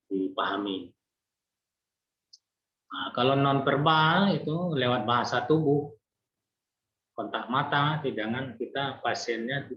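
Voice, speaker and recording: A man giving an online nursing lecture in a relaxed, conversational way, frequent fillers and restarts. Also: lowish voice. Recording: roomy, dull lo-fi lecture recording.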